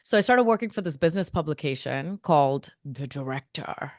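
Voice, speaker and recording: Guest from India, woman in her 30s: low, smooth, dry close sound; lively, self-mocking storyteller. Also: a little fast, no room sound. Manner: lively, self-mocking, quotes people in voices. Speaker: guest, marketing agency co-founder. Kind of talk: casual storytelling interview.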